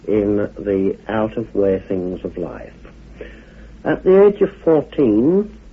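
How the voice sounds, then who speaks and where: A middle-aged man, giving a measured, formal explanatory talk in deliberate phrases. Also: lowish voice, breathy edge. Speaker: occult teacher, order founder. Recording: muffled phone-like old radio recording.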